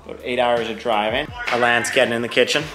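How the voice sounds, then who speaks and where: A young man keeps up relaxed, offhand commentary as he films a road trip. He talks into a handheld camera mic in cars and on streets along the coast, with music playing loud underneath. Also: medium pitch, quick pace, rough recording. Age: young adult. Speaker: vlogger filming a road trip. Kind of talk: casual travel vlog narration. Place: outdoors, in cars and rentals along a coastal highway.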